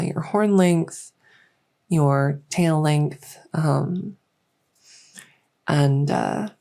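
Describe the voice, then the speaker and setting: A woman playing a small, encouraging insect-folk clinician in an ASMR medical roleplay, soft-spoken, hesitant and matter-of-fact. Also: low-pitched, vocal fry, heavily acted.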